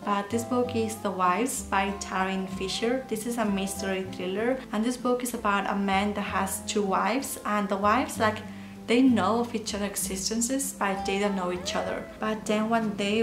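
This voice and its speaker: Young woman, Spanish accent, casual book vlog, monotone delivery, echoey home room, background music. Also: mid-range voice, moderate pace. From Spain. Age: young adult. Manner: chatty, gestures a lot with her hands. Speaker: book vlogger. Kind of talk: casual chat. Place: small room at home with bookshelves and plants. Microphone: camera or laptop mic facing her. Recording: roomy echo with music underneath.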